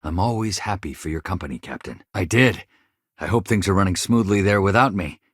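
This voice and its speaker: Casting: video game engineer, earnest accident survivor, polite and hesitant. Husky, lively intonation, dry close studio sound. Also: male voice, deep voice, brisk, dry recording. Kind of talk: video game dialogue acting.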